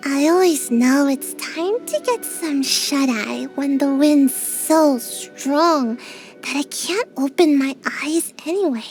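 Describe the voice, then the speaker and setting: A small, drowsy girl ninja from a game. She talks slowly in a high, bouncy voice and sighs often. Faint music plays behind her. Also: a little mellow, exaggerated voice. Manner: drowsy, sighing, mumbling. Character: sleepy small girl ninja, lazy and evasive. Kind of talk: video game character voice lines.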